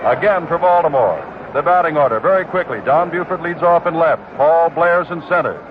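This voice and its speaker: A man calling a baseball game on the radio, briskly reading out the lineups. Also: on the high side, background noise. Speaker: radio play-by-play announcer. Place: stadium broadcast booth. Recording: tinny 1969 AM radio broadcast.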